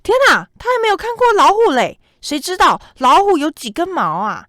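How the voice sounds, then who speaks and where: A young woman narrating a scripted children's story in an expressive, dramatic way. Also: very high-pitched, moderate pace, no room sound, clean studio sound. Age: young adult.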